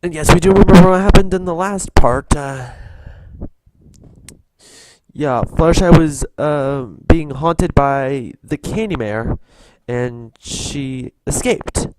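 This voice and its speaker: Slow, halting man giving casual reactions. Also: a little high, darkish tone, rough recording. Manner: halting, hesitant. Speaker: reaction video host.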